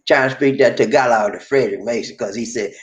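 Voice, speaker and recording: A man's voice: medium pitch, quick pace, highs cut off. A man hosting a casual livestream, reading viewer comments in a playful, teasing way. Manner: Southern American vernacular, playful and teasing. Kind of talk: casual chat.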